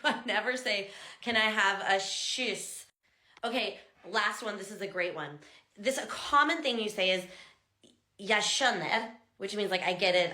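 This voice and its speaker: A woman's voice: medium pitch, sharp tone, echoey room. A chatty American woman and language teacher, explaining things casually and exaggerating sounds to demonstrate them. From United States. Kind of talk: casual talking-head explainer.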